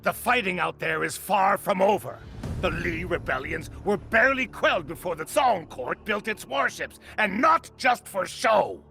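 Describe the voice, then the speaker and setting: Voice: mid-range voice, expressive, normal speed, vocal fry, pushed and bright, soft background music. An actor playing an aging, grief-stricken master of disciples in a video game, bitterly defiant and theatrical. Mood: scornful, angry. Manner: theatrical, impassioned, bitterly rhetorical. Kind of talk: dramatic video game acting.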